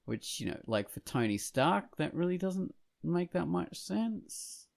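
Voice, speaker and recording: A man's voice: sits high, big pitch swings, measured pace, vocal fry, darkish tone. A man talking casually as a podcast co-host, hesitant and rambling as he thinks aloud. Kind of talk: casual discussion of films and comics.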